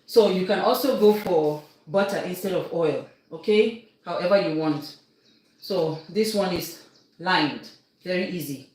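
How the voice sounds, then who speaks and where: A woman's voice: fairly low pitch, measured pace, darkish tone. A woman from Cameroon with a Cameroonian English accent, hosting a casual live baking demonstration and often checking in with her viewers. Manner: chatty, instructive, keeps checking in with viewers. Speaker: cooking livestream host. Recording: very echoey room, faint noise.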